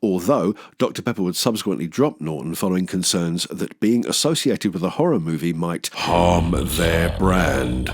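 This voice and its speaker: A man's voice: low voice, moderate pace, few pauses, no room sound, studio quality. A man hosting a film review show, reading scripted narration with jokey, theatrical asides.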